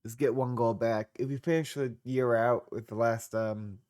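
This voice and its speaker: A man's voice: mid-range voice, fairly even intonation, moderate pace, a little mellow, clear, resonant tone. A young man with a congested voice, casually narrating a football management game he is streaming, with laid-back, trailing asides. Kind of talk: casual gameplay commentary.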